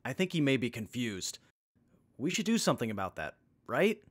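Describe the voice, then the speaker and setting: Earnest brainy crewman, acted by a man, lively pitch. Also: normal speed. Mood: worried. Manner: earnest, explanatory. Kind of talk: comic post-apocalyptic audio drama acting.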